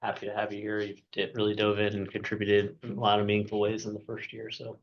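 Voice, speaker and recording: A man, a board member, speaking in a steady, supportive way on a video call. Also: fairly low pitch, fast talker. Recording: dull call audio with faint noise.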